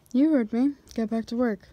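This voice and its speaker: Woman playing a slow-talking, teasing female boss. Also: on the high side, warm tone, no echo, dull audio. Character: confident, teasing female boss confessing to an employee. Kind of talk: ASMR roleplay.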